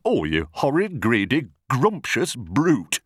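A man's voice: deep voice, expressive, normal speed, no echo, studio quality. A man voicing a horrified, blustering, scolding jungle animal in a children's storybook, exclaiming and drawing out insults. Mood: appalled, scolding.

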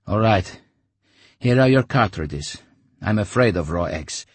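A man voicing a brash, pushy male broker who haggles loudly. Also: lowish voice, lively intonation, a little slow, slightly rough, no room sound. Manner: loud, pushy, bargaining.

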